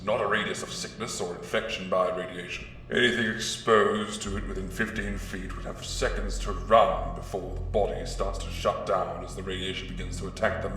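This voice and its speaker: A man's voice: sits low, a bit bright, a little hiss or hum. A man voicing a field scientist who reads out a grim, clinical report in an audiobook, with a strong echo on the voice. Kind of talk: audiobook character reading a written report. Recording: very echoey, reverberant sound.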